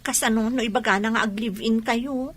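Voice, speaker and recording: An actress playing a teasing but conscientious young student friend in a radio drama, with a Filipino accent. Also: female voice, a little high, fairly even intonation, a little fast, slightly rough. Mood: probing. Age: young adult. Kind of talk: Ilocano radio drama acting.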